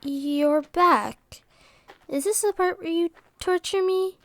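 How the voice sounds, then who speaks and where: A woman's voice: very high-pitched, takes it slowly, fairly bright tone, full character voice. A woman playing a wary, defiant teenage village girl in a fantasy audio roleplay, with a guarded, hesitant manner. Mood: defiant, suspicious. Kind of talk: scripted audio roleplay acting.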